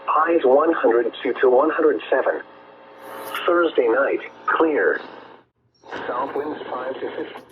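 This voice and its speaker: A man's voice: medium pitch, animated, slow pace. A man reading a scripted weather forecast as a radio announcer, heard through a radio speaker. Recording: noisy muffled weather radio broadcast.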